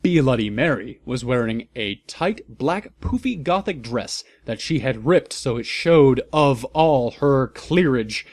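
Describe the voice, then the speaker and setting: A man giving a comedic, mock-serious dramatic reading as a narrator, with theatrical, deliberate emphasis. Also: medium pitch, expressive, a little mellow.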